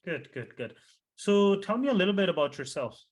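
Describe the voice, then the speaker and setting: A man's voice: sits high, big pitch swings, brisk. Friendly male interview host. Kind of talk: mock residency interview questions.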